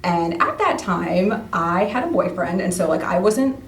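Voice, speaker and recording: A woman's voice: on the low side, unhurried. A woman interview guest telling personal stories in a casual, chatty way, often acting out bits of dialogue. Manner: chatty, animated retelling with quoted dialogue. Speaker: interview guest, radio play cast member. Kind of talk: casual conversational storytelling. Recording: roomy, distant mic, faint background noise.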